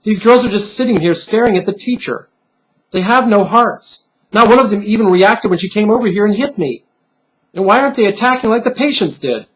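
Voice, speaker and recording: A man's voice: sits high, lively intonation, brisk, fairly bright tone, pitched above the performer's own voice, low-quality recording. A man voicing a defensive, sulky young girl with a plaintive manner in a storybook reading. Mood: indignant, confused.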